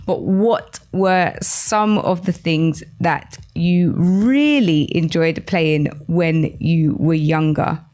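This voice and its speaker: A woman with a slightly low voice hosts an educational radio programme. She speaks straight to her listeners, putting questions and prompts to them as she presents. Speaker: radio show host.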